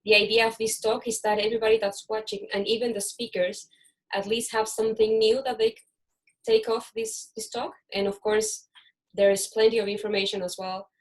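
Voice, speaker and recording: A woman's voice: on the high side, animated, fairly quick, gravelly, poor audio. Chilean-born woman hosting a video panel. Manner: chatty, restarts sentences. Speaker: host. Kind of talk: casual online panel chat.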